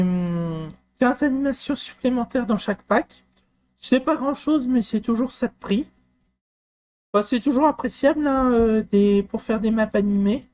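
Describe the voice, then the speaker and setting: Hesitant man presenting a software tool. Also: very high-pitched. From France. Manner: hesitant, many fillers and restarts. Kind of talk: screen-recorded walkthrough.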